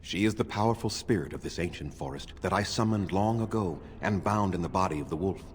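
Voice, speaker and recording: A man acting as a proud, bitter, ancient elf clan leader in a fantasy game, speaking in a measured, formal and condescending way. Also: sits low, a little hiss or hum, close to an everyday voice. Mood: dry, guarded.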